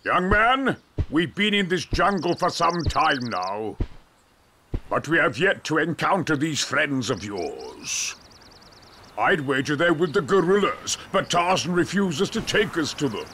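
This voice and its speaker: An actor playing a pompous, scheming jungle hunter in a video game, smooth, theatrical and condescending. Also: male voice, fairly high pitch, sing-song, measured pace, pushed and bright, slight background noise, full character voice. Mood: impatient, insistent. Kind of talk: video game cutscene acting.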